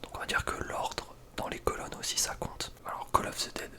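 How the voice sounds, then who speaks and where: A young man speaks in a soft breathy whisper, giving casual, slangy ASMR gaming commentary with a hesitant delivery. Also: moderate pace. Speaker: ASMR video creator.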